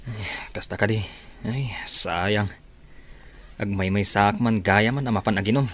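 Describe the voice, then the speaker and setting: A man's voice: fairly low pitch, steady tone, a little slow. A man with a Filipino accent playing an easygoing, envious drinking buddy in a radio drama, casual and teasing. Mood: wistful. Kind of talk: Ilocano radio drama acting.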